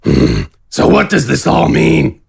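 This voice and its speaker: A man playing a huge, gruff, scornful warlord in a fantasy audio drama, speaking tersely in a raspy, gravelly voice. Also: a little high, wildly swooping pitch, takes it slowly, heavily acted. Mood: brooding. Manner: terse, grunting, disdainful. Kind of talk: scripted audio drama acting.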